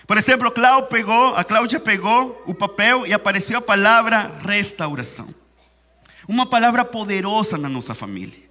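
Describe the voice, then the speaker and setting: A Venezuelan man preaches in a second language, his accent plain as he leans on repeated phrases and slows for weight. His voice sits high and bright. Long reverb from the church hall trails each line. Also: expressive. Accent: Venezuelan Spanish. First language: Spanish. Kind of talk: sermon.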